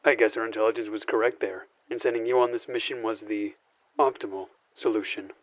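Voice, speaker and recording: An actor playing a calm, calculating, authoritative administrator in a sci-fi audio drama, speaking formally and deliberately. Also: male voice, lowish voice, no echo, lightly acted, low-quality recording.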